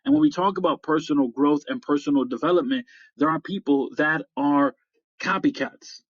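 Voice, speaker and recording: A middle-aged American man hosting a motivational podcast, speaking in deliberate, emphatic phrases with repetition. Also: a little high, expressive, dry recording. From upstate New York, United States. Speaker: podcast host. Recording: slightly dull, dry lo-fi audio.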